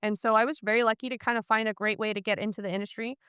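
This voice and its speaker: A woman's voice: on the high side, steady tone, rapid-fire, a bit bright, clean tone, no room sound, clean studio sound. A woman from Iceland speaking as a podcast guest, telling her career story in a candid, chatty way.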